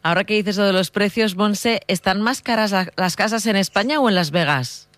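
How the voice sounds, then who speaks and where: Radio host, conversational interviewer, mid-pitched, dry close recording, questions formed with some repeated words. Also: normal speed, fairly bright tone, dry recording.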